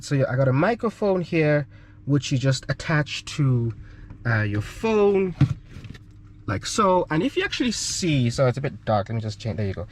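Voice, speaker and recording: A man's voice: medium pitch, a little hiss or hum. A man with a South African accent explains gear in an informal tutorial, talking in a hesitant, explanatory way and often checking in as he goes. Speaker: aviation channel host.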